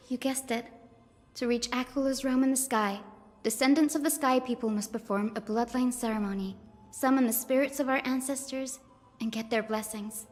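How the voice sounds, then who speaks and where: A woman voicing a gentle, earnest young assistant of sky-folk descent in a game story, speaking softly and sincerely. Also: a little high, steady tone, moderate pace, soft background music, natural voice. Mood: reflective. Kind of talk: voiced game story dialogue. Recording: echoey hall-like reverb.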